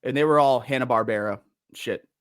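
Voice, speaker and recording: A man's voice: mid-range voice, fairly quick. A young man on a podcast as a guest, talking casually and animatedly, swearing freely. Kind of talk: casual chat.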